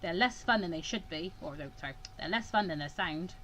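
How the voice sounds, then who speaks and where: A woman's voice: on the low side, lively intonation, fairly quick, a bit bright, faint music behind, voice pushed down. A woman voicing a stern older male mentor in a visual novel, dry and instructive.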